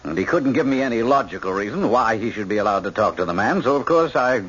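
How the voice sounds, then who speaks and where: An American actor playing an obliging prison warden with firm authority, measured and courteous in a radio drama. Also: male voice, mid-range voice, animated, a bit bright, breathy edge. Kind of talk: 1970s radio drama acting. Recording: dull, band-limited old radio broadcast.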